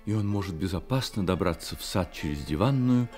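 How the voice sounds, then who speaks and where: A man narrating a radio drama as scripted literary storytelling, in a measured manner. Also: low voice, lively intonation, darkish tone, soft background music.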